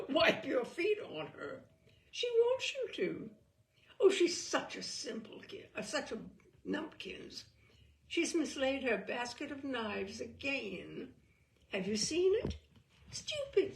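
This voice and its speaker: A woman's voice: fairly high pitch, creaky, roomy sound, slight background noise, exaggerated voice. A woman voicing an unhinged young woman who talks scornfully about herself in a sing-song manner. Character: unhinged young woman, self-mocking and fawning.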